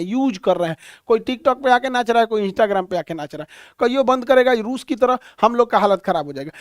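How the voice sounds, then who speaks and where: Male coaching instructor, Bihari accent, high-pitched, informal and mocking classroom delivery, dry recording without room echo. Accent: Bihari Hindi. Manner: colloquial, blunt, mocking, rhetorical questions. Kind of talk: informal classroom lecture with comic asides.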